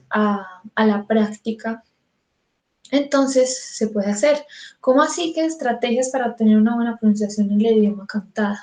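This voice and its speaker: A woman's voice: fairly high pitch, warm tone, reverberant. A woman with a Colombian Spanish accent giving an online singing lesson, chatty and informal, answering her own questions and using many fillers. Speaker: vocal technique teacher.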